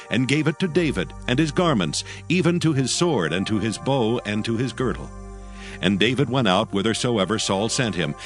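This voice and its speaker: A man narrating a dramatized scripture audio book from a script in a solemn, measured storytelling way. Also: mid-range voice.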